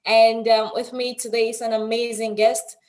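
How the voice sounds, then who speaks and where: A woman's voice: fairly high pitch, little pitch movement, moderate pace. A woman hosting an online interview show in an effusive, announcer-like manner. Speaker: host, anchor of an online TV show. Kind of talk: presenting and interviewing.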